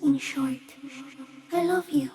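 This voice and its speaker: A woman voicing a giant stick insect in a video game, gentle, solemn and cryptic, speaking in slow, measured phrases. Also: high voice. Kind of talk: video game character acting.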